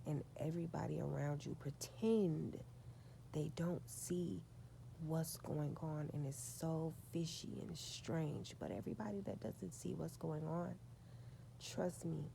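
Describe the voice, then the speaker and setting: A woman, telling a spiritual story in a slow, confiding way that often drops to a whisper. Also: low-pitched, vocal fry, a little hiss or hum, poor audio. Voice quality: soft voice. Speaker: vlogger.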